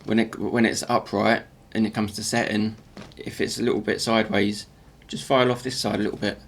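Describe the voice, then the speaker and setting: Halting man with a croaky gravelly voice. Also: lowish voice, flat delivery, a little hiss or hum. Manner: halting, with many repeated words and restarts. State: croaky morning voice. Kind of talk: casual workshop tutorial voice-over.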